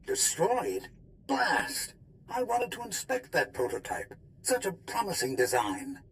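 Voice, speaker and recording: An excitable video game scientist, high-pitched and swooping wildly through phrases. He is precise and pompous, admiring of his inventions. A faint rumble sits behind the voice. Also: slow pace, heavily acted. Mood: indignant.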